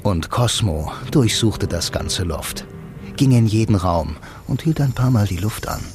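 An actor playing a blind bank employee who narrates a radio drama in a wry, brooding, intimate way, speaking straight to the listener. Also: male voice, fairly low pitch, expressive, measured pace. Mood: reflective. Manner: musing, intimate, addresses the listener directly. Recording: loud music bed under the voice.

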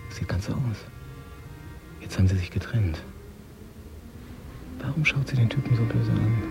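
Low-voiced, tense gangster over music. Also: male voice. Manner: clipped muttered phrases, often dropping to a whisper. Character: tense, cynical male Moscow gangster watching a handover. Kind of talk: radio drama interior monologue. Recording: studio radio play with prominent music.

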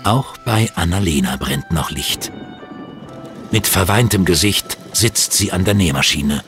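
A man's voice: low-pitched, a little slow, a bit bright, soft background music. A man reading scripted storytelling narration for an audio drama.

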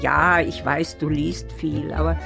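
Older woman, teasing, lowish voice, very slow, chatty, radio drama. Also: little pitch movement, creaky, music in the background, exaggerated voice. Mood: playful, coaxing. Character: giggly older woman coaxing a friend by phone. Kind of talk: naturalistic improvised radio drama.

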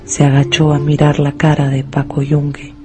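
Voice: medium pitch, completely flat delivery, moderate pace. A narrator reading a scripted story for a radio drama. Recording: music under the narration.

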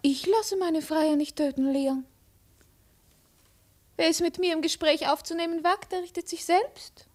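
An actress playing a proud, cold, mocking Chinese princess in a 1950s German radio play, imperious and deliberate. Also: female voice, extremely high pitch. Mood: haughty, mocking. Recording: 1950s radio drama recording.

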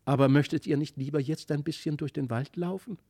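A man's voice: fairly high pitch, fairly even intonation, brisk, warm tone, husky, dry recording. Storybook fairy child, read by a man, kindly and inviting, close dry sound.

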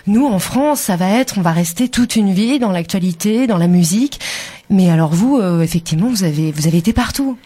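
A woman's voice: medium pitch, expressive, a little fast, dark tone, slightly rough. French woman host asking questions. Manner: conversational, leading questions. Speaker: podcast host, interviewer. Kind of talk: radio interview.

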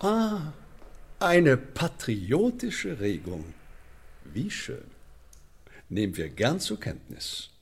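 An actor playing a menacing, sardonic Elizabethan English sheriff in a German radio drama, officious and mock-polite, with deliberate emphasis. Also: male voice, mid-range voice, very animated, creaky, husky, full character voice. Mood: mock-polite menace.

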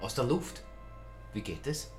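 A man's voice: medium pitch, big pitch swings, measured pace, over music. A man playing a curious, probing amateur detective in a radio play. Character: curious, probing male amateur sleuth, feigning admiration.

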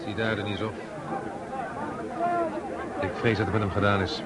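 A man's voice: fairly low pitch, flat delivery, moderate pace, over a noisy crowd, heavy background noise, natural voice. Curt, cold army officer played by a man. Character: cold, hard Russian officer, unmoved by death. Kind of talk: radio drama acting.

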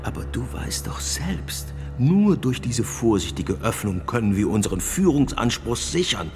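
An actor playing a strict, agitated reformist bishop in a German radio drama, speaking emphatically and with indignation. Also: male voice, medium pitch, big pitch swings, a little slow, darkish tone. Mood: earnest, persuasive. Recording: long hall reverb, music behind.

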